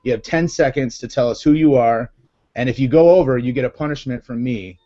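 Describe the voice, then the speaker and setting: A man's voice: medium pitch, brisk, mellow. Chatty man with a Massachusetts accent. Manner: chatty, upbeat, many fillers. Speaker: live show host. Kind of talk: casual live video chat. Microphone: video call. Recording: dull lo-fi video call audio.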